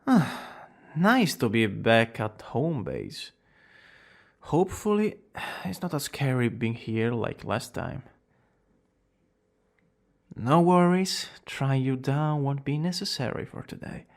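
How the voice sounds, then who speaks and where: Guy doing a smug teasing young villain for asmr romance, slow n drawly, bit of gravel in the voice. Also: mid-range voice, big pitch swings, exaggerated voice. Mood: playful.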